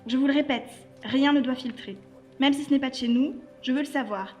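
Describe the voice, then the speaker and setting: A woman's voice: high-pitched, fairly quick, a little mellow, natural voice. A woman playing a stern, impatient intelligence chief in a French audio drama, brusque and authoritative. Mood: irritated, commanding. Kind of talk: French audio fiction dialogue.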